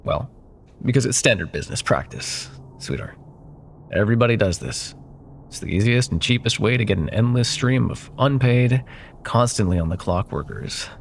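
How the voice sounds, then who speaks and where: A man playing a smug, possessive corporate CEO and jilted ex-lover in an intimate ASMR roleplay, with silky condescension and pointed pauses. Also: mid-range voice, lively intonation, vocal fry, a little hiss or hum. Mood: smug, mocking.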